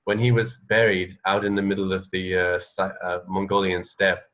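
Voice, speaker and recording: A man co-hosting a casual radio chat, explaining things in a halting, rambling way with repeated phrases. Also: sits low, flat delivery, a bit bright. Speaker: radio co-host.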